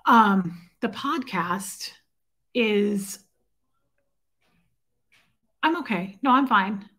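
A woman's voice: mid-range voice, lively intonation, very slow, pushed and bright. A woman in her forties with a slightly scratchy, strained throat, chatting casually on a home livestream, distracted and greeting viewers by name. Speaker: livestream host. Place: home living room. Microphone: webcam at desk.

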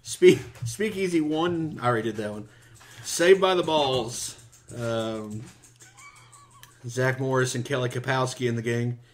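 A man's voice: medium pitch, unhurried. Joking man reading off game results. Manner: joking, laughs often. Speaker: podcast co-host. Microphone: headphones.

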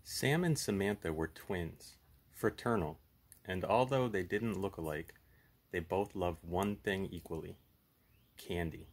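A man's voice: low voice, measured pace. A man in his thirties hosting a puppet show, chatting casually and reading a horror story aloud.

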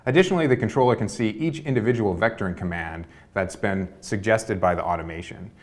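A man's voice: on the low side, quick pace. A young man giving a fluent, orderly walkthrough of a prototype. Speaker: researcher demonstrating a prototype. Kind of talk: product demo narration. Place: dark studio with display screens.

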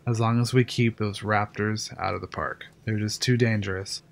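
A man's voice: fairly low pitch, steady tone, moderate pace, creaky. A man voicing a wary, chain-smoking park engineer who talks in a guarded, matter-of-fact way. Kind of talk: fan audio drama acting.

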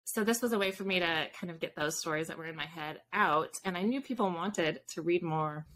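Female author guest, thirties, lower register, casual and chatty, faint noise on the call. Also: brisk, fairly bright tone. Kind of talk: casual chat. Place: indoors in a room with bookshelves, on a video call.

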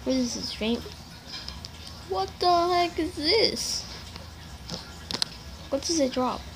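A young girl giving casual commentary while playing a game on a tablet, chatty and quick to react loudly to other players. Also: sits high, expressive, low-quality recording. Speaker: gamer. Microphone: tablet microphone. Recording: loud background noise.

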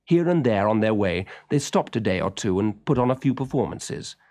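Animated British male storybook narrator. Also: on the low side, moderate pace. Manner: expressive, clearly paced storytelling. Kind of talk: scripted children's story narration.

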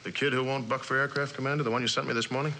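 A commanding general, curt and skeptical, with a medium-pitched voice. The delivery is old-time military suspense drama, on a noisy vintage recording. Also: male voice, level tone, very fast, sharp tone. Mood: stern, brusque. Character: stern male air force general in command. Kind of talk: mid-century suspense drama acting.

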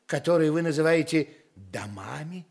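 A haughty, curious giant king in a radio play. His high voice swings widely and creaks as he slowly ponders and exclaims. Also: expressive, a little mellow, heavily acted. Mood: curious, astonished. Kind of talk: theatrical radio play acting.

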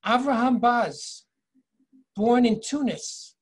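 An older man in his sixties leading a virtual tour lecture from home over a video call, measured and explanatory, reading inscriptions slowly. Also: very high voice, husky. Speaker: tour guide and educator. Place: home office, indoors. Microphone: video call webcam.

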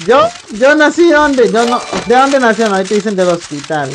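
A man's voice: very high voice, expressive, normal speed, a bit bright, slight background noise. A young Colombian man streaming a game live on a headset mic, greeting viewers by name and calling them brother. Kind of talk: live gameplay stream chat. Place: small room at home.

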